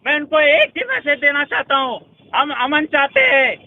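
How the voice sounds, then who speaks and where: High-pitched Indian man giving defiant speech. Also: sing-song, moderate pace, phone-quality sound, a little hiss or hum. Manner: emphatic, defiant, repeating phrases for force. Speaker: organisation leader addressing supporters. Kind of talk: fiery public speech.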